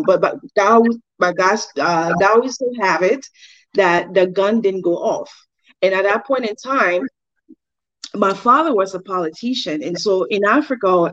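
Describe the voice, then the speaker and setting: A woman's voice: fairly low pitch, no room sound. A woman in her forties from Liberia, giving earnest, devout personal testimony as an interview guest on a slightly dull recording.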